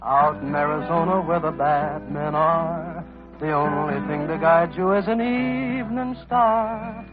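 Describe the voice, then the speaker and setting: A man singing a slow western verse on 1940s radio. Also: a little high. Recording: muffled vintage radio with music.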